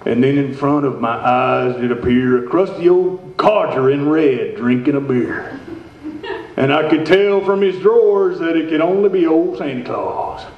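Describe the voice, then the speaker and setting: A man's voice: a little high, lightly acted. A man playing a folksy redneck storyteller and host, reading theatrically in a live stage radio show. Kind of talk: live old-time radio show performance. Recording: roomy, dull stage recording.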